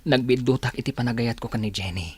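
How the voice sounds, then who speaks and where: A man with an Ilocano accent playing a soft, earnest and persuasive young suitor in a radio drama. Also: medium pitch, fast talker, breathy edge, no room sound. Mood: tender, pleading.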